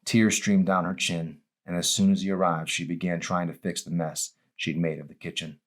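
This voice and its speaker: A man reading his own novel as a dramatic audiobook, in a grave, deliberate storytelling manner. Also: low-pitched, level tone.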